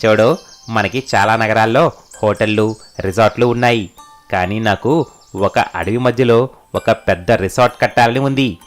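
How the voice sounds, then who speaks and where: A man's voice: lowish voice, moderate pace, pauses often, soft background music, close to an everyday voice. A man playing an arrogant, wealthy businessman in a cartoon story, bossy and self-assured. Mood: excited, commanding. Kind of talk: dubbed cartoon story dialogue.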